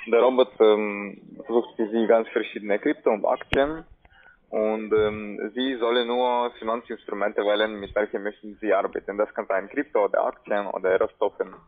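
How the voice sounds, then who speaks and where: Halting foreign-accented man on tinny phone. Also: fairly low pitch, fairly even intonation. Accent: foreign accent in German. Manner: halting, broken non-native German with repetitions. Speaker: telephone sales caller pitching trading investments. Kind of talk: scripted sales pitch on a phone call. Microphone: telephone. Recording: narrow, tinny phone line.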